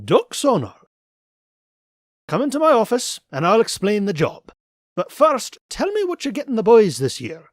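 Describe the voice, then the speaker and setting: A man's voice: high-pitched, wildly swooping pitch, normal speed, no room sound, clean studio sound, exaggerated voice. A man voicing a cranky, miserly old male duck tycoon in a cartoon comic dub, given to indignant exclaiming. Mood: shrewd. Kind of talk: comic book fan dub.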